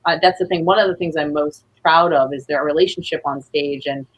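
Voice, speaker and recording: A woman with a low, husky voice speaks as a guest in a casual interview. She is a playwright, and she talks in an earnest, rambling way. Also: fairly even intonation, brisk, poor audio.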